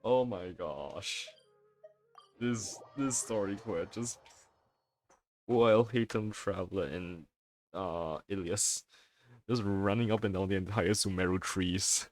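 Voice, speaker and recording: A man's voice: low-pitched, slow pace, pauses often, faint music behind. A man giving casual, playful let's play commentary as he streams a game.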